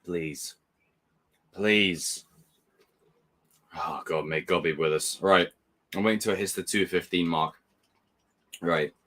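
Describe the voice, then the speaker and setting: Croaky nervous streamer man. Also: low-pitched, fairly even intonation, takes it slowly, pauses often, bright, forceful tone. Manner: nervous, swearing, pleading. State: croaky morning voice. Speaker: streamer hosting a watch party. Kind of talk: live reaction commentary.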